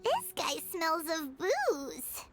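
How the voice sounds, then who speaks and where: A woman voicing a small, chirpy, easily exasperated fairy companion in a video game, with a squeaky, childlike voice and expressive delivery. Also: extremely slow, full character voice. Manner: expressive, exclamatory. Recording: game music behind the voice. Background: prominent game music.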